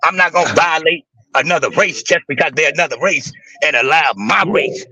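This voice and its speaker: A man with a raspy voice who talks intensely and with emphasis, swearing freely in a casual livestream chat. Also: a little high, expressive, a little fast, pushed and bright, dry recording, rough recording. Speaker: guest on a live stream.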